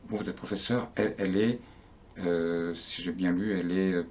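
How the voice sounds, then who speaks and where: A man's voice: low voice, fairly even intonation, moderate pace, a little mellow. A man interviewing a guest for a news outlet, chatty and often restarting his sentences.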